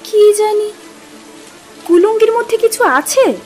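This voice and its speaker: An affectionate young wife in an audio drama, with a very high, girlish pitch. She speaks tenderly and expressively, with a dreamy unease. Music and rain play behind her. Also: measured pace, darkish tone. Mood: dreamy, unsettled. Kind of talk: dramatized Bengali audio story.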